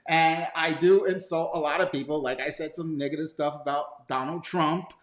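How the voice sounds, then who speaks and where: A man's voice: a little high, fairly even intonation, normal speed. A middle-aged man, chatting casually as the outspoken, jokey host of a live Q&A. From New York. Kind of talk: casual livestream chat. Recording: echoey room sound.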